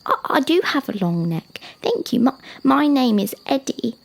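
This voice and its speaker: A woman voicing a timid, excitable young boy giraffe who stammers, in a children's storybook. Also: sits high, normal speed, vocal fry, voice pushed up, full character voice. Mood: curious, polite. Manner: stammers when nervous or curious.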